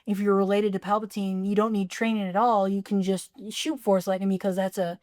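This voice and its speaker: A woman co-hosting a film podcast, sarcastically picking apart a movie and pitching better scenes. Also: fairly even intonation, brisk, darkish tone. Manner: sarcastic, agreeing, rewriting scenes. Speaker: podcast co-host. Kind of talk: casual film critique chat.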